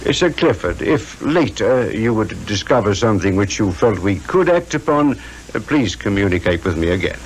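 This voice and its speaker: A low-voiced British police inspector. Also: male voice, big pitch swings, normal speed. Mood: regretful, firm. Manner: formal, reassuring. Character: courteous, measured Scotland Yard police inspector. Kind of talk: 1950s radio drama acting. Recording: noisy 1950s radio recording.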